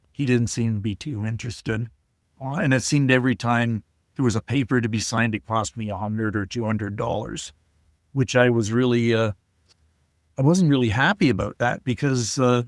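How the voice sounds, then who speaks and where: An older man speaks at a middle pitch, halting and shy, often restarting his sentences as he tells his story. He sounds close and dry, with no room around him. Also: no room sound. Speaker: guest, COPD advocate. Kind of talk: casual interview talk.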